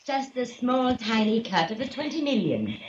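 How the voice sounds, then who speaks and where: A sly taunting blackmailer. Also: female voice, fairly high pitch, very animated. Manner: sly, mocking, drawn-out delivery. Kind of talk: 1940s radio acting. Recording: lo-fi old radio transcription with hiss.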